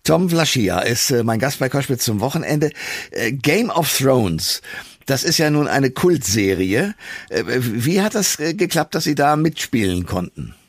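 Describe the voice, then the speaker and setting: A man with a distinctly husky voice of average pitch hosts a radio talk show. He introduces and questions his guest in a conversational style. The studio sound is very dry and close. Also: sing-song, a little slow, no room sound.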